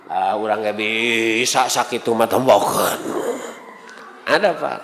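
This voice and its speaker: A man's voice: fairly low pitch, slow, a bit bright, a little hiss or hum. A man with a Sundanese accent preaching an Islamic sermon conversationally, with rhetorical questions and acted-out dialogue. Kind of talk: sermon to a congregation. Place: large mosque hall. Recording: reverberant mosque hall.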